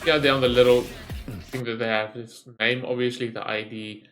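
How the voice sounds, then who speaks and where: A man hosting a gaming video, giving excited, rambling reaction commentary. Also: medium pitch, normal speed, faint music behind.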